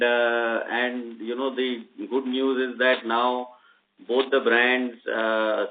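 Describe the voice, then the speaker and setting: Indian man, company executive on an earnings call, medium pitch, explanatory and hesitant, narrowband line. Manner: halting, measured, explanatory. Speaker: company executive answering analysts' questions. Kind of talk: unscripted earnings call answers. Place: conference call. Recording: narrowband phone-line audio.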